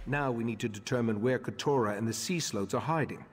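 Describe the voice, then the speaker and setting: A man's voice: medium pitch, breathy edge, close to an everyday voice. Man acting a solemn mage leader in echoing hall. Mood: grave, pondering. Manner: measured, formal, deliberate. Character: grave, elderly scholarly male leader of a mage order. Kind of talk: scripted video game character dialogue. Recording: long echoing hall reverb.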